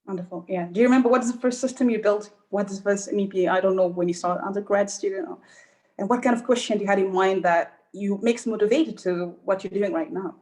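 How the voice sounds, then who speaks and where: Woman podcast host with a halting manner. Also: medium pitch, darkish tone, rough recording. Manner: hesitant questions, with fillers and restarts. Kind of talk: interview hosting.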